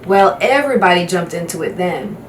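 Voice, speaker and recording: A woman reading a children's novel aloud with measured, dramatic phrasing. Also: on the low side, expressive. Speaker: guest storybook reader. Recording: roomy, distant microphone, faint background noise.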